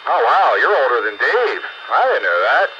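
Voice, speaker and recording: A man's voice: mid-range voice, very animated, moderate pace, highs cut off. Man in his late fifties over noisy radio. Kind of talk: casual chat. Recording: noisy band-limited radio transmission.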